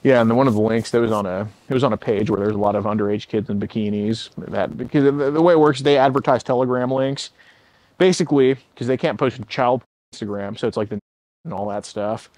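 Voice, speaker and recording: A young man talking loudly and bluntly outdoors, his middle-pitched voice caught by a far camera. He questions someone directly and keeps stalling with "I mean" and "like.". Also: fast, no room sound. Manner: loud, blunt, hesitant fillers. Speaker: confrontation host. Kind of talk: confrontational questioning. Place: outdoors in a driveway.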